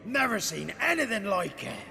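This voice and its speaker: A humble fantasy game peasant with a creaky, gravelly voice that swings up and down. He is folksy, hesitant and eager to please. Reverb and faint music surround him. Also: high-pitched, big pitch swings, moderate pace, sharp tone, full character voice. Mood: impressed, deferential. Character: humble peasant man, impressed, eager for reward. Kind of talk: cinematic fantasy game acting.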